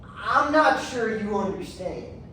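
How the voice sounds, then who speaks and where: A woman's voice: mid-range voice, expressive, slow, sharp tone. A woman playing a defensive, evasive official who placates and hesitates in a stage drama. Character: defensive, evasive official excusing harsh child therapy. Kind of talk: competitive stage readers theatre. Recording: echoey room, distant mic, faint noise.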